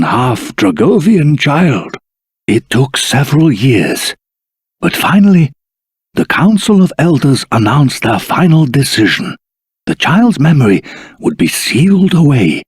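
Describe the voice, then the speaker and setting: A very raspy old grandfather storyteller. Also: medium pitch, no echo, studio quality, heavily acted. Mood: solemn. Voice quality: husky, raspy. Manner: formal, measured, dramatic pauses. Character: doddering old grandfather of a dragon-folk race, solemn storyteller. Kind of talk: theatrical storytelling for a fantasy video game.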